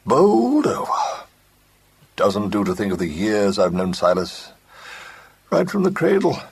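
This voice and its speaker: A man's voice: on the low side, huge pitch swings, unhurried, breathy edge, exaggerated voice. A man voicing a pompous older businessman who talks ponderously with a false heartiness. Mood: sympathetic. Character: pompous older male company partner.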